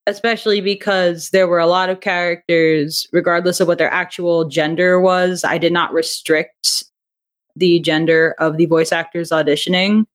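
A woman's voice: on the low side, little pitch movement, moderate pace. A woman speaking casually as a podcast guest, explaining things in a conversational, self-qualifying way. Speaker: podcast guest, audio drama writer and director. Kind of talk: casual interview chat.

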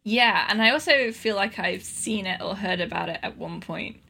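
A woman speaks in a casual, comedic podcast style. Her voice is bright and forward, at a middling pitch. She comes across as informal and wry. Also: normal speed, gravelly, husky. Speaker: podcast panelist.

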